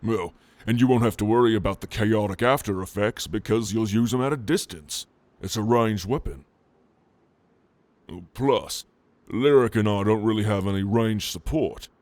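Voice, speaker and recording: A man playing a flirtatious, protective orc in an intimate ASMR roleplay, with a teasing, casual and gentlemanly manner. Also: fairly low pitch, moderate pace, dry recording, natural voice. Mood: encouraging, casual. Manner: teasing, intimate, casual drawl with soft chuckles. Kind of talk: ASMR roleplay addressed to the listener.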